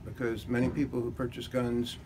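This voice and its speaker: Male interviewee, mid-pitched, explanatory and measured, recorded with clear ambient noise. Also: level tone. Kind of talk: considered interview answer.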